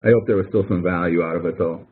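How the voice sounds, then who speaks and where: A man's voice: low-pitched, steady tone, quick pace, a little hiss or hum. A man working as a college professor, explaining things step by step in an informal online tutoring session, with emphatic repetition. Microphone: online call microphone. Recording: thin phone-like online conference audio.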